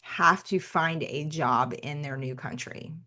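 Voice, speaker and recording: An American woman speaks as a podcast guest in a slightly low voice. She rambles, stacking asides and restarts, with lively swings in her delivery. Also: a little slow. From United States. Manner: talkative, rambling, animated asides. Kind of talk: conversational podcast interview.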